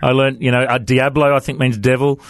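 A male radio host asks his questions with eager enthusiasm, padding them with hesitant fillers. His voice sits at an ordinary middle pitch. The recording is dry and close, with no room sound. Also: level tone, brisk, no echo. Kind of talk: radio interview.

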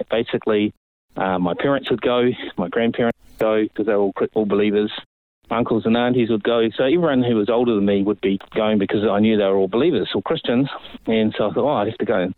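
A New man in his fifties, speaking in a somewhat low voice as an interview guest, unhurried and full of pauses and fillers. His voice comes through a narrow, phone-like line with no room sound around it. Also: no echo. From New Zealand. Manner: relaxed, many pauses and fillers. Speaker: ministry guest. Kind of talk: casual interview storytelling.

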